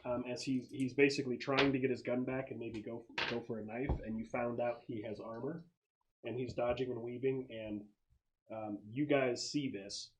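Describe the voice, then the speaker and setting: A man's voice: medium pitch, steady tone, normal speed, poor audio. A man acting as game master, casually narrating tabletop combat in vivid, slangy language.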